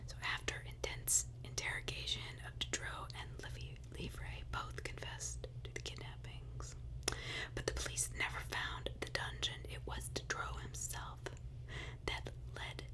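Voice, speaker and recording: Whispering woman telling true crime. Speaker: true crime ASMR host.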